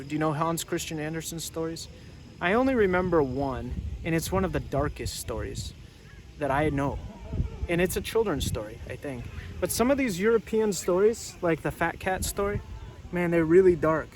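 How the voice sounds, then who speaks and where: A man reading a storybook aloud as a teacher, casually chatting and prompting listeners with questions. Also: a little high.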